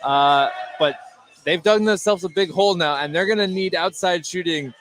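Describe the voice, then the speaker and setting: Halting, slightly high-pitched male color commentator. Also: fairly bright tone, a little hiss or hum. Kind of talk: live unscripted game analysis.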